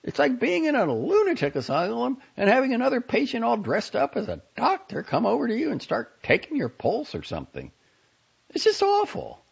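Guy doing a high worn-out young woman on the phone, huffy and pleading, swoops all over, kinda husky, dull lo-fi sound. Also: huge pitch swings, brisk, exaggerated voice. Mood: exasperated. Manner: pleading, exasperated. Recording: dull lo-fi audio.

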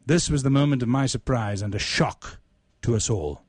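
A man reading his own literary short story aloud in a deliberate, measured, ornate manner. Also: medium pitch, darkish tone, no room sound, studio quality.

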